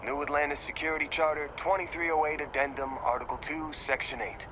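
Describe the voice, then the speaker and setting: An actor playing a smug, officious city security officer, deliberate and dismissive, in video game dialogue. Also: male voice, on the high side, fairly even intonation, background noise, natural voice.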